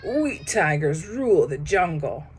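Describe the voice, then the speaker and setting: A woman's voice: low voice, sing-song, a little slow, breathy edge, voice pushed down, heavily acted. A woman voicing a proud, fearless male tiger from a children's storybook, in a boastful manner.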